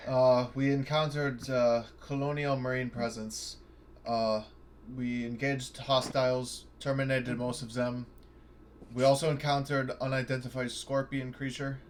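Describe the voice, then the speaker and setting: A man's voice: medium pitch, flat delivery, a little slow, a little hiss or hum. A man chatting casually as a player in a tabletop game, sometimes slipping into clipped military talk.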